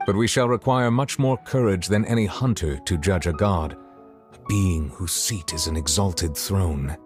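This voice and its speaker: Man playing a low-voiced, solemn, dignified gentleman. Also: moderate pace, music in the background, lightly acted. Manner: formal, solemn. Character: grave, dignified, formal man of authority. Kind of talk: video game voice acting.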